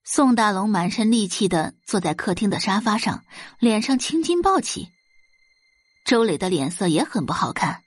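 A woman's voice: mid-range voice, sing-song, moderate pace, no room sound. A woman reading scripted dramatic narration as the narrator of an audio drama.

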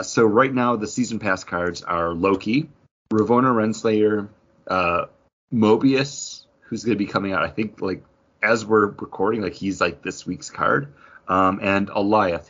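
A man's voice: sits low, fairly bright tone, lo-fi sound. A man hosting a podcast, giving thorough, casual explanations with enthusiasm. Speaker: podcast host explaining a game.

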